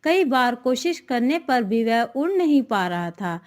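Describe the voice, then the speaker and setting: A woman's voice: on the high side, sing-song, measured pace. A woman narrating a scripted moral tale for a cartoon as the storyteller.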